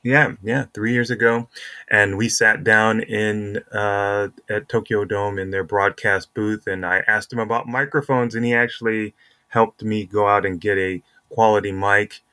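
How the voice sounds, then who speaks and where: A man's voice: on the low side, flat delivery, unhurried, pushed and bright, no room sound. A middle-aged man hosting a casual sports podcast, chatting and introducing interviews. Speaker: podcast host.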